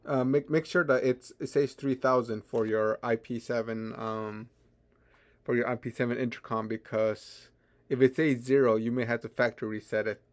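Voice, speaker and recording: Hesitant man walking through a software tutorial. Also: medium pitch, steady tone. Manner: hesitant, halting, stammering and repeating words. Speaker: tutorial presenter. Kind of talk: unscripted software screen tutorial.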